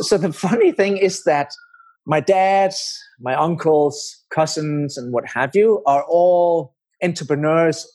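A middle-aged man with a Danish accent, telling his story as an interview guest in measured, explanatory phrases. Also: fairly high pitch, darkish tone, rough recording. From Denmark.

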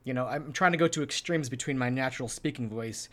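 A man with an average-pitched voice co-hosts an instructional podcast. He chats casually but takes a little care over his enunciation. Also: fairly bright tone. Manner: deliberately enunciated podcast delivery. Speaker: podcast co-host.

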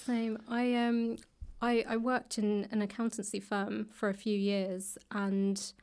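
British woman chatting, mid-pitched voice. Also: little pitch movement, slow pace, a little mellow, smooth. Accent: British English. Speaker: guest, author and actor. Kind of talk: casual interview chat. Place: indoors in a public library room.

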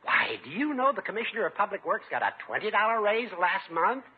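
A high, husky, fussy judge. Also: male voice, sing-song, quick pace, narrow, tinny audio, full character voice. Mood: admonishing. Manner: precise, lecturing, portentous. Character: fussy, admonishing older male judge, meddling friend. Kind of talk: 1950s radio comedy acting. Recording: thin, band-limited 1950s radio.

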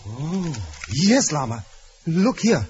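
An actor playing a devoted, formal manservant who also narrates an old-time radio adventure, earnest and deferential. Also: male voice, fairly high pitch, big pitch swings, slow pace, a little mellow, slight background noise. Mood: deferential, anxious. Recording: dull old radio recording with music.

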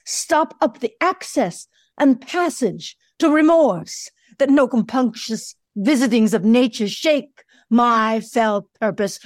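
Eager little Shih Tzu mix played by a woman, high voice, slow theatrical delivery, close dry sound. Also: darkish tone, dry recording. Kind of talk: audio play acting, theatrical.

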